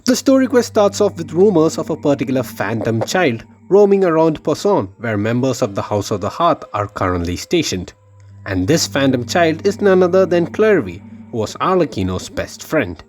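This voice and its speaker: Male lore-video narrator, mid-pitched, very lively and dramatic delivery, music underneath. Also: normal speed. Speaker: video game lore YouTuber. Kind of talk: scripted narration of game lore theory.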